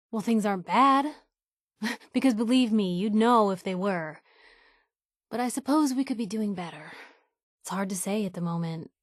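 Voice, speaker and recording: A woman's voice: mid-range voice, no echo, clean studio sound, natural voice. An actress playing a spirited, nosy young woman reporter in a video game, loyal and outspoken, talking in a wry, colloquial way. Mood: guarded, hesitant. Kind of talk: video game companion dialogue.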